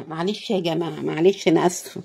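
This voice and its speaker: A woman with an Egyptian Arabic accent and a hoarse, tired voice, chatting casually as she cooks. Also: fairly low pitch. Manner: tired, pious, often praising God. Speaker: cooking vlogger. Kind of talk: casual cooking commentary.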